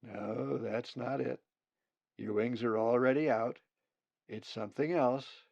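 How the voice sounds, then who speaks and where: A man's voice: medium pitch, steady tone, takes it slowly, a little mellow, no room sound, highs cut off. A man voicing a kindly male raven who patiently teaches and encourages the young in a storybook. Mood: patient teaching. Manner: clear instructing, encouraging.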